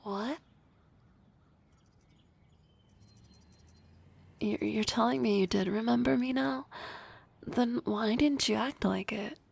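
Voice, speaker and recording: A woman voicing an energetic young female harpy classmate in an ASMR roleplay, talking softly and often in a whisper with a teasing manner. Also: fairly high pitch, unhurried, vocal fry, husky, heavily acted. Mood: hurt.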